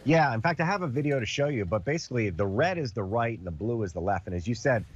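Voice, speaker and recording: Congested man demoing with lively energy. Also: medium pitch, fast, highs cut off, a little hiss or hum. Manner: animated, explains with everyday comparisons. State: congested from a cold. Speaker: TV host demonstrating a product. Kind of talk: casual demo chat.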